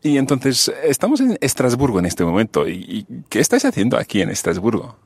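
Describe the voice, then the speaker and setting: A man hosting a podcast-style interview, friendly, clear and measured, introducing the guests and asking them questions. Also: mid-range voice, sing-song, a little mellow, no echo. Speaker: host. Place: Strasbourg.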